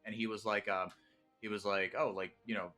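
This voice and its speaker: A Brazilian-born man casting esports play-by-play from a broadcast booth, excitable and quick to shout through big plays. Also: lowish voice, soft background music. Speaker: play-by-play caster.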